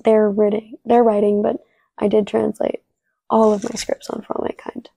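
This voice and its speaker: Gravelly, flat-voiced young woman. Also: medium pitch, normal speed, no room sound. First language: Spanish. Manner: storytelling, relaxed, frequent "like" and restarts. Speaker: actress, interview guest. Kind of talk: casual interview answers.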